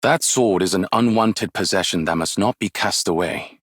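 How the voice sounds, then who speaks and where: An actor playing a wise, weary, ageless martial arts master in a game, with measured, formal, poetic delivery and a husky voice. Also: male voice, low-pitched, dry recording, studio quality. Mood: calm, philosophical. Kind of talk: scripted game character voice lines, solemn and poetic.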